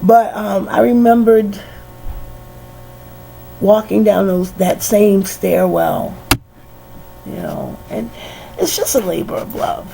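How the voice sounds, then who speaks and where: A middle-aged woman with a head cold, musing slowly and casually, sighing and laughing softly between many "you know"s. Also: mid-range voice, animated, vocal fry, noisy background. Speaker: vlogger. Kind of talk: casual reflective monologue.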